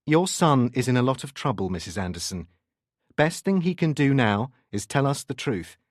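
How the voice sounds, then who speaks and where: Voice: medium pitch, expressive, no room sound, studio quality, lightly acted. Narrator playing a commanding male detective sergeant. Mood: measured. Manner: brisk, authoritative, commanding.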